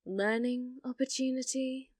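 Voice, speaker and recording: A woman's voice: sits high, steady tone, a little mellow, no echo, studio quality. A woman playing a flirty, possessive yandere rental date in an ASMR roleplay, teasing and coy, coaxing the listener. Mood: playful, coaxing. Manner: teasing, coy, slow and deliberate, drops to whispers.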